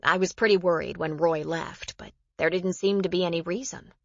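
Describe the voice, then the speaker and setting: A woman's voice: on the low side, fairly even intonation, moderate pace, no echo, muffled recording. A woman narrator voicing an embarrassed, bitter mother in an audiobook, confessional and self-reproachful. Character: embarrassed, bitter mother confiding about a con man.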